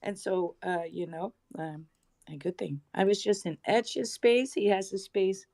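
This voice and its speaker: A woman's voice: medium pitch, steady tone, measured pace, mellow. A woman from the Netherlands hosting a live online audio chat, bubbly and chatty, laughing often and trailing off mid-sentence. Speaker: host of a live crypto audio space. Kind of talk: casual live-stream chat.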